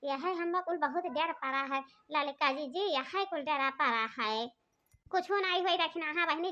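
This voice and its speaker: A woman voicing a defensive, squabbling married villager in a comic cartoon, excitable and repetitive, with an eastern Uttar Pradesh accent. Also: high voice. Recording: dull lo-fi audio.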